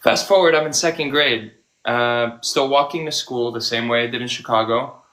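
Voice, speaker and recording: A man's voice: mid-range voice, normal speed, sharp tone. A man from the United States, a guest on a live interview, earnestly telling a personal story with some "um" and "uh" fillers. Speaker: guest, medical humanitarian.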